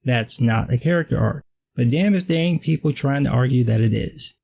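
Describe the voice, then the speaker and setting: A man's voice: mid-range voice, expressive, moderate pace, vocal fry, darkish tone. A man with a dry voice delivering a sarcastic, blunt scripted film commentary. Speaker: film critic vlogger.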